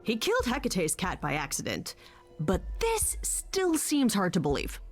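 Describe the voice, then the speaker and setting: A sardonic sidekick in a fantasy game, swinging widely in pitch as she jabs with punchy, colloquial sarcasm. Faint music sits behind her brash, distrustful lines. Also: huge pitch swings, a little slow. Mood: accusing, sarcastic. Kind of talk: video game voice acting.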